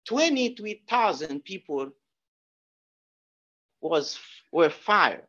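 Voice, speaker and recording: A man giving a guest talk, answering audience questions in a lecture room, halting with many restarts and repeated words. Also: sits high, very animated, fairly bright tone, dull audio. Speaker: guest speaker.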